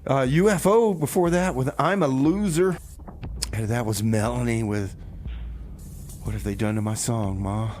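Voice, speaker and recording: Guy doing radio host chat, mid pitch, rambly and casual, little bit of background noise. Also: unhurried, darkish tone. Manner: rambling, conversational. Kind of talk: casual radio music show chat with comedy bits. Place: radio studio.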